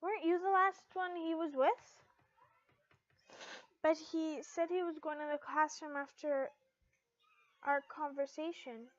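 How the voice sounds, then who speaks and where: A woman's voice: high voice, fairly even intonation, a little slow, long pauses, dry recording, lo-fi sound. A woman voicing a flustered, stubborn teenage heroine in a story, hesitant and defensive. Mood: puzzled.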